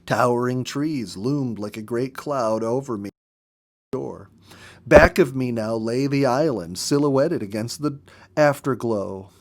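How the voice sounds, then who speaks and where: A man with a medium-pitched voice reads a book aloud in an audiobook style. He takes his time, shaping phrases dramatically and leaving pauses between them. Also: a little mellow. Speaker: storyteller reading a book aloud. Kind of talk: expressive narrated audiobook reading.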